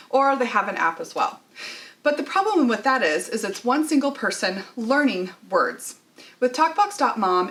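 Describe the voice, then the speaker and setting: A woman's voice: medium pitch, a bit bright. A woman giving an explanatory product review, talking straight to her audience. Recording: voice distant from the mic.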